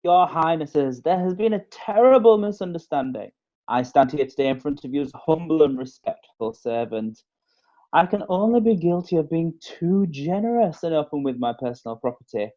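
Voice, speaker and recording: A man's voice: a little high, expressive, normal speed, mellow, highs cut off, natural voice. A man playing an evasive medieval husband in court who pleads with oily, overly humble manners. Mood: pleading. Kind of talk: amateur radio drama.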